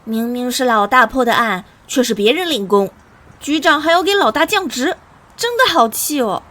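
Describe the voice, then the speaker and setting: High-voiced, pouty young police junior. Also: female voice, animated, moderate pace, bright, forceful tone. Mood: indignant. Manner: pouty, exclamatory. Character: indignant, loyal young female police junior. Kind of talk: animated drama voice acting.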